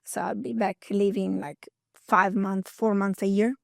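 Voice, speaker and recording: A woman's voice: mid-range voice, fairly even intonation, a little slow, gravelly, no room sound, clean studio sound. A woman around fifty with an Italian accent, a guest in an interview, talking energetically and chattily and laughing often. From Italy. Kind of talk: interview conversation.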